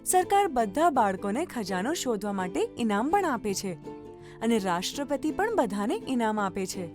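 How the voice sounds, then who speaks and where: A woman's voice: fairly high pitch, sing-song, normal speed, over music. A woman narrating a scripted children's story as the storyteller.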